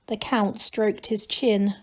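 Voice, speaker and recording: A woman's voice: medium pitch, little pitch movement, slow pace, a little mellow. A woman reading a children's story aloud as a teacher, narrating expressively.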